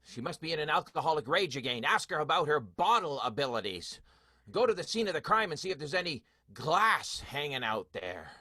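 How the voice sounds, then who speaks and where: A man's voice: on the high side, very animated, fairly quick, sharp tone, dry recording, clean studio sound, exaggerated voice. A man playing a defensive, melodramatic stage performer in an improvised radio play, theatrical and emphatic. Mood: indignant.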